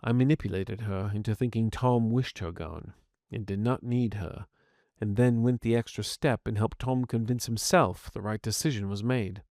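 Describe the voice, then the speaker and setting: A man voicing the calm, reasoning, remorseful inner voice of a man's psyche in an audio story, in a measured, explanatory way. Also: on the low side, dry recording, studio quality, lightly acted. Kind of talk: scripted audio fiction dialogue.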